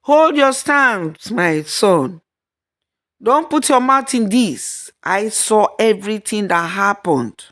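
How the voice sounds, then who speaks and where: A woman's voice: mid-range voice, animated, slow, fairly bright tone, pitched above the performer's own voice. Stern older mother-in-law for a folktale, woman performer, fiercely protective of her son, emphatic and scolding. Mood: stern accusing.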